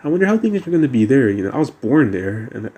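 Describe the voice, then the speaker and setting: A man's voice: medium pitch, big pitch swings, fast talker, mellow. Teenage guy with a mixed American-British accent. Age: teens. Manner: hesitant, many restarts and fillers, musing. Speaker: vlogger. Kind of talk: casual rambling monologue.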